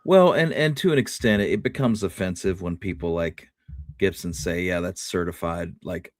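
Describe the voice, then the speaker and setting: A man co-hosting a casual history discussion, speaking in a measured, diplomatic way and qualifying his points. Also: sits low, dry recording, studio quality. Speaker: podcast co-host.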